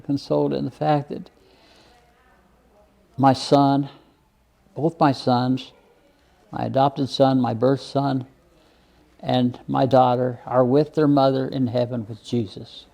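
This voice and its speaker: Slow, creaky-voiced elderly man. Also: medium pitch, flat delivery, dark tone. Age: over 80. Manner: plain-spoken, halting, repeats words. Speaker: interview guest, retired minister. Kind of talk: reflective personal storytelling in an interview.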